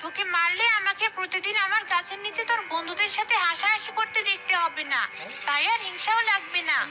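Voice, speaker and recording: A woman's voice: extremely high pitch, fairly quick, music in the background. A woman voicing a bitter, jealous, menacing female ghost in a cartoon, complaining and threatening. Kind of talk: cartoon voice acting.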